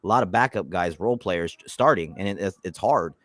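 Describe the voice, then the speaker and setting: A man hosting a casual live stream about his football team, talking in animated bursts and often repeating words as he restarts. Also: low-pitched, brisk, no room sound, studio quality. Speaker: YouTube channel host.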